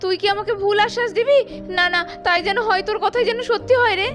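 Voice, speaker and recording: An emotional young doll woman acted by a woman with an extremely high voice and a quick, emphatic delivery. It is melodramatic cartoon acting with music playing behind. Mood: worried. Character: excitable young women of a doll family, anxious and emotional.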